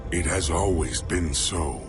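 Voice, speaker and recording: An actor playing a solemn, troubled alien warrior in a game, speaking in a grave, formal manner. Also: male voice, sits low, slow, music in the background. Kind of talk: scripted game cutscene dialogue.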